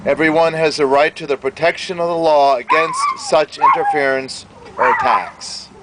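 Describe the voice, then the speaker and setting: A man's voice: fairly high pitch, huge pitch swings, normal speed. A man reading the articles of a formal document aloud in an outdoor plaza. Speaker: public reader at a rights event. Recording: outdoor recording with faint traffic noise.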